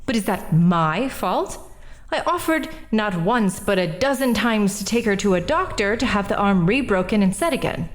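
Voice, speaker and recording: A woman's voice: medium pitch, expressive, moderate pace, lightly acted. A woman playing a brash witness at an inquest, flippant and defensive in an audio drama. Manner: defensive, flippant, laughs things off. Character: brash, self-justifying woman on the stand.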